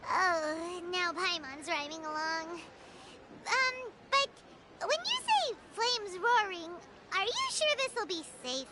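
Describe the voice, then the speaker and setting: A woman voicing a tiny, excitable, childlike fairy companion in a video game, with a squeaky, naive delivery. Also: slow pace, background noise, full character voice. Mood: doubtful.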